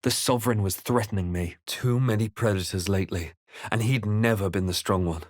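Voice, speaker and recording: Man acting a low-voiced, brooding fantasy adventurer. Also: fairly quick, dry recording, studio quality, natural voice. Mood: somber, reflective. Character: brooding male fantasy adventurer narrating his quest. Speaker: video game player-character voice. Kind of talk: dramatic first-person game narration.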